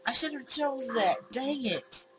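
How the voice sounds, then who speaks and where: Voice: high voice, lively intonation, measured pace, over loud music. A gaming video host reading a visual novel aloud, exclaiming and commenting on it to themselves. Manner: exclaims and comments to self while playing. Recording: clear background noise.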